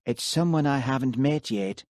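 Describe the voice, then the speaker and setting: A man voicing a young Scottish woman who speaks calmly and teases lightly. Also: medium pitch, normal speed, no room sound, voice pushed up, natural voice. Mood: calm teasing. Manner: calm, lightly teasing. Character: young dreamy Scottish woman who trusts her feelings.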